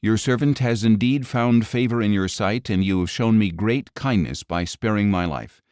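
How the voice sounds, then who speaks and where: Guy doing a worried old dad in a bible story, deep voice, pleading earnestly. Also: moderate pace, a little mellow, smooth, no room sound, clean studio sound, lightly acted. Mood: anxious pleading. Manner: earnest, imploring. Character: anxious, pleading older man, father sheltering his guests.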